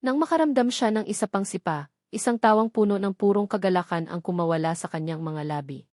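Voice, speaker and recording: A woman narrates scripted romance fiction with dramatic storytelling delivery. Her voice sits at a medium pitch, and the recording is close and very dry. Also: brisk, a little mellow, no echo.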